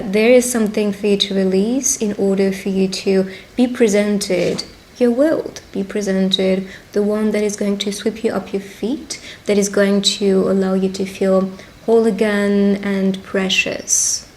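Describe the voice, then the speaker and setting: A woman speaking directly to the listener as a tarot reader in a witch roleplay, pausing as she goes. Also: medium pitch, level tone, darkish tone, poor audio. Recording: clear background ambient noise.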